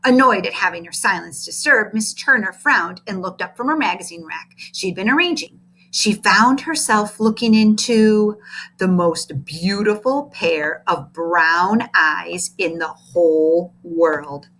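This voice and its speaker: A woman reading a children's storybook aloud as narrator, in an expressive, deliberate manner. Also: medium pitch, rough recording. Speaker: storybook reader.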